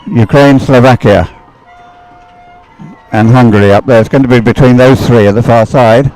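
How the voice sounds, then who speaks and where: A man's voice: mid-range voice, steady tone, moderate pace, a little mellow, faint music behind, low-quality recording. A man giving live commentary as a sports race commentator.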